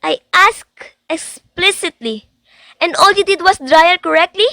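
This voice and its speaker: A woman's voice: very high voice, unhurried, gravelly, pushed and bright, no echo, full character voice. A young woman playing an agitated, insistent invalid wife in a theatrical student radio drama. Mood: indignant, demanding. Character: anxious, imperious invalid wife alone at night.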